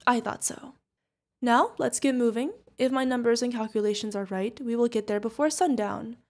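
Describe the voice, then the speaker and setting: Fantasy witch mentor, acted by a woman, higher-pitched voice, protective, affectionately teasing, stern, ASMR roleplay style. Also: normal speed, lightly acted. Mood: fond, teasing. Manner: affectionate teasing, mock-exasperated. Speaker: voice actor.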